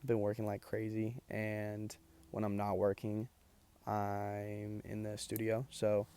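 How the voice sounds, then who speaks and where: A man's voice: on the low side, completely flat delivery, slow, mellow. A young man chatting casually to camera, tired and losing his voice, rambling with lots of ums and likes. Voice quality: gravelly. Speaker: vlogger. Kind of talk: casual chat.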